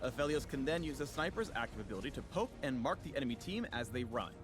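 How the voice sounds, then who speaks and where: A man narrates a scripted game guide with very lively pitch movement and a quick pace. Clear music plays underneath his promotional read.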